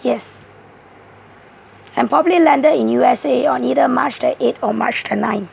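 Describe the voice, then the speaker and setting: A woman with a hoarse voice, giving a rambling, halting monologue as a tarot reader. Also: high voice, darkish tone. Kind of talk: casual tarot video monologue.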